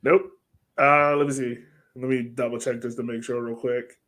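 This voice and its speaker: Guy hosting a sports podcast, just chatting casually, normal pitched voice. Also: little pitch movement, moderate pace, fairly bright tone.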